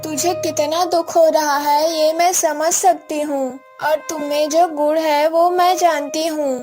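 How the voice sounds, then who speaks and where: An elderly mother-in-law from a cartoon moral story, put on by a woman. She is protective and kindly, speaking slowly and with measured care in a high voice. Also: fairly even intonation, darkish tone, soft background music. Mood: consoling. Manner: measured, admonishing, consoling.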